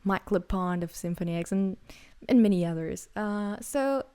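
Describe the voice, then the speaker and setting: A young woman singer from Greece talks about her music in an interview. Her voice sits at a middle pitch for a woman. She speaks with frequent fillers, repeated words and restarts as she thinks aloud. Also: normal speed, mellow, clean tone. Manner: hesitant, many fillers and restarts. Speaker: singer and songwriter. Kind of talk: interview answers.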